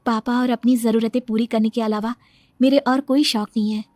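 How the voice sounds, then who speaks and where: Woman voicing a high-pitched, earnest young daughter. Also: steady tone, moderate pace, darkish tone, dry recording, soft background music, natural voice. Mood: meek, polite. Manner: earnest, pleading. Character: devoted, tearful young daughter and new bride. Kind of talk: dramatized cartoon moral story.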